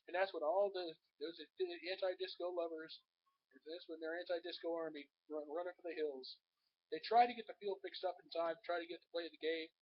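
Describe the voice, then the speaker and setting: Hoarse male sports vlogger, high pitched, rambling, muffled webcam sound. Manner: chatty, rambling, addresses viewers as folks. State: hoarse with a cold. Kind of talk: casual sports commentary to camera. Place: home.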